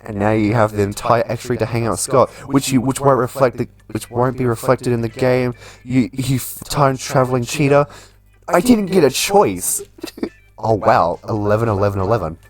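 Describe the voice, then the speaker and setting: A man's voice: mid-range voice, normal speed, soft background music. A man doing casual, chatty let's play commentary in a playful way, reading game text aloud as he goes. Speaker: gaming channel host.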